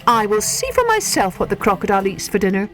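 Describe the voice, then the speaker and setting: A woman's voice: mid-range voice, wildly swooping pitch, quick pace. A woman voicing a curious, polite young male elephant calf in a storybook reading. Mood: eager. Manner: polite, pleading.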